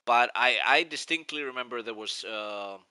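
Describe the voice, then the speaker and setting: A man's voice: medium pitch, moderate pace, pushed and bright, clear, resonant tone, dry recording. A man in his forties with a Greek accent, rambling and nostalgic as he chats casually on a live stream. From Greece. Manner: rambling, nostalgic, restarts often.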